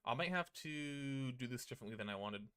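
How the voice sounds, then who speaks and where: A man's voice: medium pitch, animated, a little fast, fairly bright tone, smooth. A man doing casual livestream commentary on a visual novel, chatting informally and reading on-screen text aloud. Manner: informal, occasional swearing. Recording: music playing behind the voice. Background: game music.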